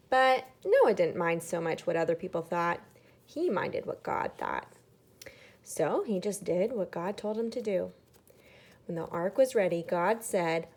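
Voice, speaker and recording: Female storybook reader for young listeners: slow, expressive, dramatic, slightly creaky voice. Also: mid-range voice. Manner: dramatic, with hushed emphasis. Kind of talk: expressive children's story read-aloud.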